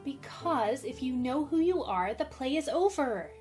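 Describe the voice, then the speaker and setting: A woman's voice: high-pitched, lively intonation, a little slow, a little mellow, soft background music. A woman acting a bold, theatrical tomboy teenage girl in an amateur radio drama, with eager, exclamatory delivery. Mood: bossy, theatrical.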